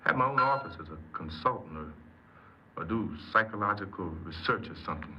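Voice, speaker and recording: A man playing an impatient, self-justifying young thief confessing haltingly in a 1950s radio drama. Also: fairly low pitch, animated, lightly acted. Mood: rueful, explaining. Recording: muffled, very noisy 1950s radio recording.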